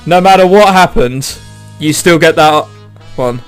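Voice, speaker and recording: A man's voice: fairly high pitch, unhurried, smooth, soft background music. A man who is a let's player reading a visual novel aloud dramatically, with exclamatory, sweary joking commentary.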